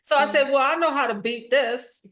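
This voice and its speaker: A woman's voice: sits high, moderate pace, a bit bright. A woman talking as a guest in a casual interview, telling stories in a frank, informal way. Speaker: interview guest, theatre maker and audio drama writer. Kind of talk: casual, candid interview conversation.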